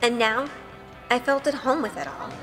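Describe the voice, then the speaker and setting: A woman playing an earnest, sweet young witch heroine who narrates an audio drama in a gentle, measured way. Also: fairly high pitch, big-room sound, music in the background, crowd noise, close to an everyday voice. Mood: reflective.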